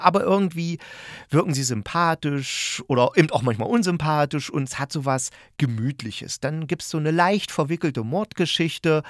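A man's voice: medium pitch, dry recording, studio quality. A man hosting a review show, speaking casually and chattily to listeners, thinking aloud and correcting himself as he goes. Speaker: host of an audio drama review show.

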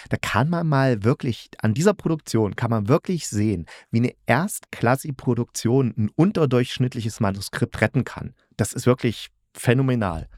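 A man's voice: medium pitch, darkish tone, no echo. Dry, close-miked man, sarcastic podcast critic. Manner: sarcastic, emphatic, colloquial. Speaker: podcast host and audio drama critic. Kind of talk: opinionated conversational review.

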